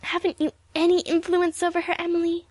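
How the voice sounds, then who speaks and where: A sly schoolgirl from a storybook, voiced by a woman reader. The voice sits very high and wheedles, with a halting, needy delivery. The sound is dry and close. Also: completely flat delivery, a little mellow, no echo. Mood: sweetly insinuating. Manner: wheedling, stammering, sniffling. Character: sly schoolgirl, falsely gentle, crumpling into tearful pleading.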